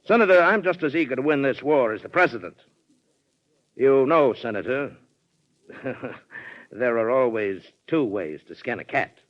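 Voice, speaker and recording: A muffled 1940s broadcast features an actor playing a wily American colonel. He is a forceful, ambitious man with a medium pitch and the delivery of period radio drama. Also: lively intonation, unhurried, pauses often, natural voice. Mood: wily, confident.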